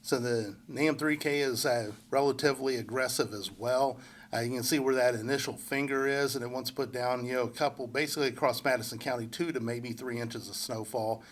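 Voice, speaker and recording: A man with a congested head cold giving a relaxed, unscripted local weather forecast, full of fillers and restarts. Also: mid-range voice, fairly quick. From central Indiana. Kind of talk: casual forecast walkthrough.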